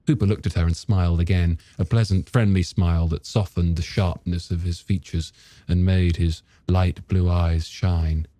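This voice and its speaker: A man's voice: low voice, steady tone, normal speed, warm tone, dry recording. A man narrating a novel as an audiobook reader, in scripted storytelling style.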